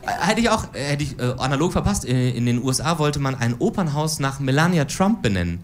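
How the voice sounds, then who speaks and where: A woman's voice: extremely low pitch, big pitch swings, brisk. A woman in her forties performing stand-up political cabaret on stage, with a dry, ironic delivery. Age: 40s. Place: stage before a live audience.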